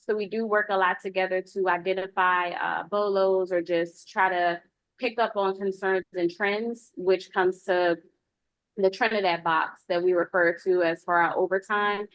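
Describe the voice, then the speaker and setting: Casting: woman, police lieutenant reporting to a community meeting, lively intonation on a slightly low voice, dry sound. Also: moderate pace, no echo, poor audio. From United States. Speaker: police lieutenant giving a public safety report. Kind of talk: informal spoken report at a public meeting.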